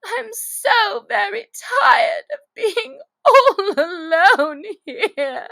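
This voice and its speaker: A woman's voice: very high voice, extremely slow, creaky, pushed and bright, no room sound, pitched above the performer's own voice, exaggerated voice. A woman voicing a distressed young girl in a children's storybook, with an exaggerated, plaintive delivery. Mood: sobbing, despairing. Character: Alice, distressed young girl, dramatic and tearful. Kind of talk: children's storybook character acting.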